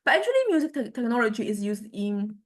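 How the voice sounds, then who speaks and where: A female university lecturer talks to her class in an informal way. Her voice sits a little on the high side. She moves forward haltingly, often repeating words as she shapes each phrase. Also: lively intonation.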